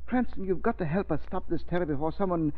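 An actor playing an agitated, pleading older hospital doctor who stammers and repeats himself, in an old-time radio mystery style. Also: male voice, high voice, fast talker, natural voice. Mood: anxious pleading. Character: panicky, deranged-sounding older hospital doctor, pleading and hysterical. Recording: tinny band-limited old radio recording.

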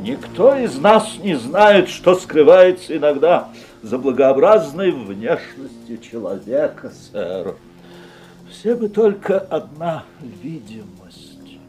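An actor playing a polite, insinuating, mysterious magic-shop salesman in a radio play, with deliberate, unctuous courtesy. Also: on the high side, sing-song, a little mellow. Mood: musing, ominous. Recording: 1970s audio play, music behind.

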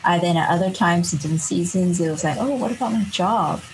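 Young woman from Singapore, low voice, chatty and reflective, faint background hiss. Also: quick pace, poor audio. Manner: chatty, many fillers like "like", laughs often. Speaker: podcast guest. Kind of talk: reflective conversational storytelling.

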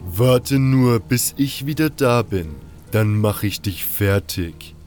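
A man's voice: on the low side, animated, slow pace, darkish tone, soft background music. A man voicing a hot-tempered, brutish male guard in a fantasy audiobook, snarling threats. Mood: furious.

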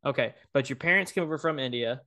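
A man's voice: mid-range voice, quick pace. A man hosting a podcast interview, asking long, rambling questions with frequent restarts. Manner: rambling, warm-hearted, restarts often. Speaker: podcast host. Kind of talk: casual interview conversation.

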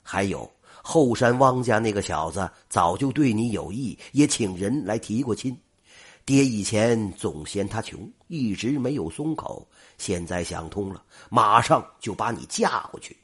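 A stern old hunter father with a low, husky growl, as a male storyteller puts him on. He speaks gravely and curtly, like the head of a village household in a told folk tale, his rough tone dropping well under the narrator's own. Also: expressive, measured pace, fairly bright tone, full character voice.

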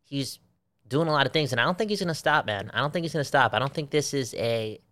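A man co-hosting a casual sports chat, animated and swearing freely, repeating himself for emphasis. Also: medium pitch, fast. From Belgium. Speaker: sports podcast co-host.

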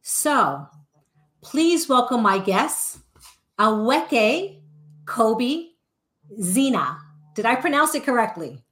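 A woman hosting a podcast interview, introducing her guest and asking questions in a deliberate way. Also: fairly high pitch, sing-song. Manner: deliberate, warm introductions with light laughs. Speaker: podcast host.

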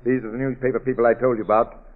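An actor playing a weary, irritable older gentleman landowner, formal and curt, in a 1940s radio drama. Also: mid-range voice, little pitch movement, racing, natural voice. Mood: courteous. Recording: tinny old radio recording.

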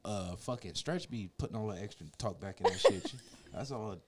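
Animated joking man on a podcast. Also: fairly low pitch, brisk, crowd noise. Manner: joking, makes sound effects, teasing. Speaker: podcast co-host. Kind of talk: casual banter.

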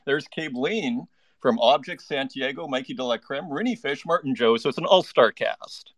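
A man's voice: mid-range voice, moderate pace, no echo. A man hosting a live online audio interview, chatty and enthusiastic, often restarting his sentences. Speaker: podcast host.

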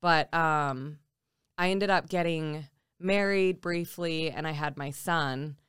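Low-voiced woman in her forties. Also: takes it slowly, fairly bright tone, clear, resonant tone, no echo, clean studio sound. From Nebraska. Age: 40s. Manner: reflective, many fillers and restarts. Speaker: podcast guest, tattoo artist and jiu-jitsu coach. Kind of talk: casual interview chat.

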